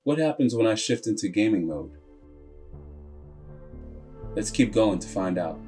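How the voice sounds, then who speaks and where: A man narrating a scripted story in the first person as a grave, proud machine character, solemn and deliberate, with dramatic pauses. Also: lowish voice, expressive, dark tone. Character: computer processor telling its own story as a grave, proud character. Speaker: video narrator. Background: music.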